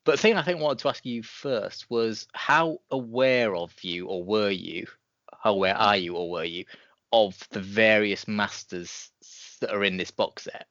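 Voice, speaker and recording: A man's voice: lowish voice, normal speed, a bit bright, no echo. A man hosting a review podcast, chatting casually. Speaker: podcast host. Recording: dull, dry podcast audio.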